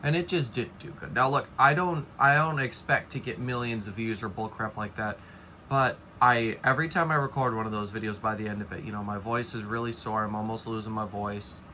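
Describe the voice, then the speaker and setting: A man's voice: lowish voice, brisk, bright, forceful tone, slight background noise. A young man casually giving a rambling update to viewers of his vlog, with many fillers and restarts. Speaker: fan collector vlogger.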